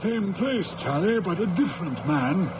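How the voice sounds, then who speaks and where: An actor playing a calm, patient male police inspector with a steady, quietly menacing way of talking, in a 1970s radio drama. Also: high voice, moderate pace, dull audio. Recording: hissy old radio broadcast.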